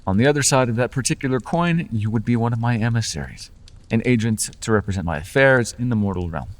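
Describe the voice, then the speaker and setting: A man's voice: mid-range voice, lively intonation, quick pace, long reverb tail, natural voice. A man playing a teasing fae patron. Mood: playful. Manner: teasing, charming, conversational with small restarts. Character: playful male fae patron bargaining with a warlock. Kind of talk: ASMR fantasy roleplay.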